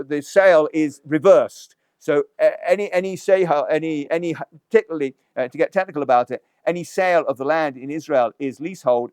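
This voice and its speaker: A rabbi teaching a Torah class, explaining a text step by step with rhetorical questions and dry asides. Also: male voice, a little high, darkish tone, no room sound. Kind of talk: lecture-style text study.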